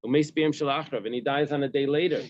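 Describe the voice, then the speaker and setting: A man's voice: fairly high pitch, fast, slight background noise. A man casually leading a Talmud study session, reading phrases and explaining them. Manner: explains a text, reads Hebrew phrases and translates. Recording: dull dry lo-fi sound.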